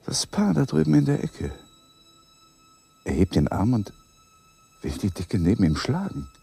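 Brooding young husband, widely swinging voice. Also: male voice, medium pitch, huge pitch swings, unhurried, gravelly, mellow, exaggerated voice. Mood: musing, obsessive. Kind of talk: radio play dialogue and inner monologue.

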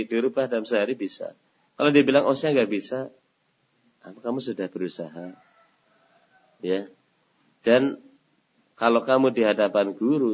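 A man teaching a religious study session, answering a question and posing rhetorical questions for his listeners to complete. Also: medium pitch. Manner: asks rhetorical questions and lets the audience finish them. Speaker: Islamic teacher. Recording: dull lo-fi lecture recording.

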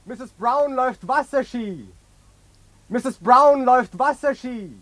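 A man's voice: extremely high pitch, lively intonation, slow, a bit bright, voice pushed up, exaggerated voice. A man voicing an island newspaper crier in a radio play, chanting headlines in stretched calls. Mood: heralding. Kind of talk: drawn-out street crying in radio play.

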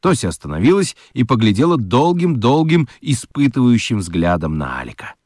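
A man narrating a children's radio story in an expressive, scripted storytelling style. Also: mid-range voice, normal speed, no echo, studio quality.

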